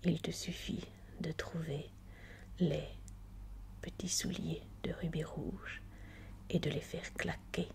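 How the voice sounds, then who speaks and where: A tender, motherly woman played by an actress whispers soothingly over a sleeper in a bedtime roleplay. The delivery is very unhurried, lowish and breathy, with some crackle. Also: flat delivery, exaggerated voice. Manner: slow, soothing, hushed.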